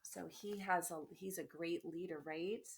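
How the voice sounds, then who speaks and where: A woman teacher reading aloud to children, expressively and encouragingly, pausing to explain and ask questions. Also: lowish voice, steady tone, vocal fry. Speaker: elementary school teacher. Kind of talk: children's read-aloud with questions and asides to pupils. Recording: noticeable background noise, clicks.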